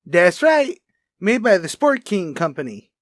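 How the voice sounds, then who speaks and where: A man playing a wry, chatty police lab technician in a radio crime drama, brisk and casual. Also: sits high, sing-song, no echo, studio quality. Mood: sarcastic. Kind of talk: old-time radio crime drama acting.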